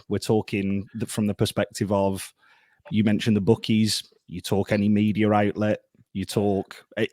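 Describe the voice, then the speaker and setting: A man's voice: on the low side, darkish tone, dry recording. A man appearing as a guest fan, talking hesitantly and searching for his words in a casual chat.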